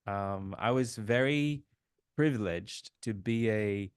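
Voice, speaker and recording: A man in his fifties, originally from Sri Lanka, telling his life story as an interview guest, with relaxed fillers. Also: on the low side, takes it slowly, smooth, no echo, clean studio sound. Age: 50s. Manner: warm storytelling, reflective, many fillers. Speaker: guest, author. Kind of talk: casual interview chat.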